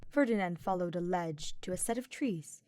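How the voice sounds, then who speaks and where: A woman reading scripted fiction as an expressive audiobook narrator. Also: fairly low pitch, normal speed, dry recording.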